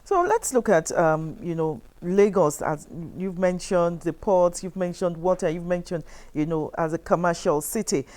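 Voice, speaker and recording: A woman's voice: on the low side, normal speed, few pauses, darkish tone. A woman with a Nigerian accent, interviewing conversationally as a television host. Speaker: television talk show host.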